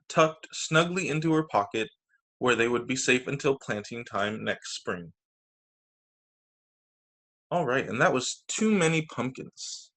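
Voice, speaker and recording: A man's voice: medium pitch, a bit bright. A man reading picture books aloud to children as a teacher, narrating over a video call. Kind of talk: storytime narration read aloud.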